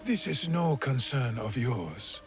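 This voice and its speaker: A man playing a middle-aged, scheming feudal Japanese lord and stern father in a video game, measured and imperious, with veiled menace. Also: medium pitch, big pitch swings. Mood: curt, imperious. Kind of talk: cinematic video game acting. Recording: music behind the voice. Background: background music.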